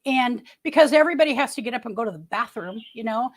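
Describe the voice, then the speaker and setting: A woman's voice: a little high, lively intonation, fast talker. A woman in her early sixties hosting a casual livestream from home, chatting and reading viewer comments in a rambling way with many restarts. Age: 60s. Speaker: livestream host.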